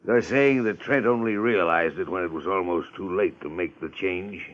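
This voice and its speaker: A man's voice: deep voice, natural voice. A man playing a polite, bookish associate with formal, deferential delivery in a 1950s radio detective drama. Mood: polite, explanatory. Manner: formal, deferential, measured phrasing. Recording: dull old radio recording.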